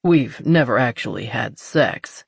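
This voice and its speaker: A narrator voicing a gruff, dryly amused man, the terse hero of a romance audiobook. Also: medium pitch, expressive, a little slow, dry recording, heavily acted. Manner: dry, terse. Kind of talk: audiobook character dialogue.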